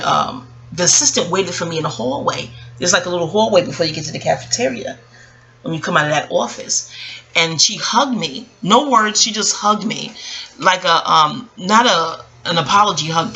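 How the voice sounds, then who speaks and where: A woman speaking casually and reflectively about her own experience, rambling with emphatic pauses and frequent self-corrections. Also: on the low side, sharp tone. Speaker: vlogger. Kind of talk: casual reflective personal storytelling.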